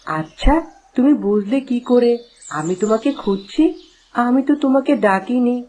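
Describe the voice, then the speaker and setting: A woman's voice: on the high side, expressive, moderate pace, dark tone, a little hiss or hum, lightly acted. A woman voicing a curious, friendly young village boy in a children's cartoon, speaking earnestly. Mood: wondering. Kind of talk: children's cartoon story dialogue.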